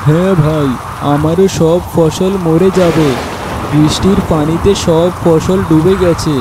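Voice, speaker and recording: A man playing a kindly, pious village farmer and neighbour in an animated moral cartoon, speaking earnestly and consolingly. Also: on the high side, a little slow, lightly acted. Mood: sorrowful. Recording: loud rain noise behind.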